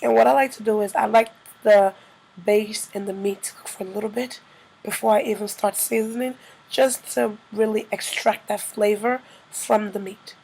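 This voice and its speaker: A woman with a slightly hoarse, congested-by-a-cold voice, narrating a casual cooking tutorial as a vlogger. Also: medium pitch, steady tone, moderate pace, darkish tone, poor audio.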